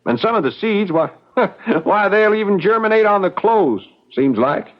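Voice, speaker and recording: A hot-headed young rustic in an old radio play. The voice is fairly high with a rural American drawl, plain-spoken and stubborn. The recording is dull and dated. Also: male voice, expressive, a little slow. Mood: amused. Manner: folksy, plain-spoken, defiant. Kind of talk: old-time radio drama acting. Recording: dull vintage radio drama sound.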